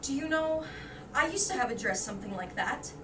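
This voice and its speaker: A woman plays an eager, admiring young woman with a high voice. She is polite and gushing, recorded from a distance in a roomy, echoing space. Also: animated, fairly quick. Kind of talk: staged reader's theater. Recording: distant roomy stage recording with background noise.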